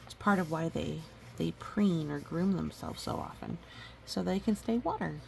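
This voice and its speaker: A woman reading a storybook aloud gently and adding educational asides. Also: sits low, moderate pace, gravelly. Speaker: storybook reader. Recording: faint background noise.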